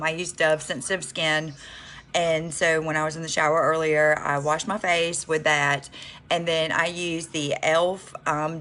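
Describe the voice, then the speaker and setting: A woman's voice: low-pitched, fairly bright tone. A woman chatting on a makeup livestream, stuffy from a cold, with lots of ums as she talks to viewers. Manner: chatty, many ums, addresses viewers as y'all. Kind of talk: casual get-ready-with-me livestream.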